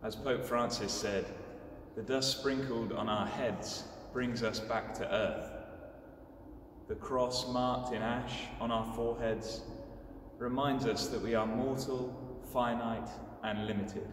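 A young clergyman preaches from a script in a measured, solemn way. His voice sits at a middling pitch and comes from a distance in a large, echoing church, with noticeable noise. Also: steady tone. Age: young adult. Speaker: clergyman giving a homily. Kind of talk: scripted sermon. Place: inside a large stone church.

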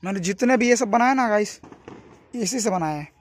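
A man's voice: high-pitched, lively intonation, a little fast. A young adult man chatting casually and informally to his viewers, as a vlogger talking into a phone. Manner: informal, addresses viewers as friends. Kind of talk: casual vlog chat to camera. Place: in and around a home, indoors and outdoors. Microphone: phone at arm's length. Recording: noisy handheld phone recording.

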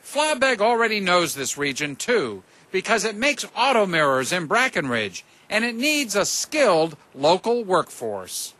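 Newsman doing a live report, high animated voice, measured delivery. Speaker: TV news reporter.

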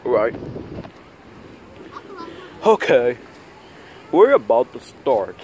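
A man improvises dialogue for plush toys in a medium-pitched voice. His delivery is slow, halting and repetitive, rambling along as he goes. It is a noisy phone recording made outdoors. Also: sing-song. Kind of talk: improvised plush toy skit. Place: outdoors on grass at night. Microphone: handheld phone camera close by.

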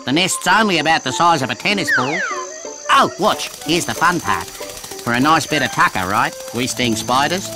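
An easygoing cartoon wasp played by a man chats in an Australian accent, breezy and pleased with itself. The delivery swings up and down in a lively way, with music underneath. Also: fairly high pitch, normal speed, rough recording. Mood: breezy, proud. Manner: breezy, matey, chatty. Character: easygoing, self-admiring mud wasp. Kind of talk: children's cartoon character narration.

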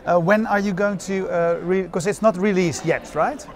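An older man interviewing a guest outdoors at an event, halting with many fillers and restarts. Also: sits high, steady tone, faint music behind. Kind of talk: casual festival interview. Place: outdoor courtyard at a busy event.

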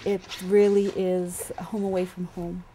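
A woman's voice: on the low side, level tone, normal speed, mellow, a little hiss or hum. A middle-aged woman with a Boston accent, a lighthouse keeper speaking in a heartfelt, plain-spoken way in a reflective documentary interview.

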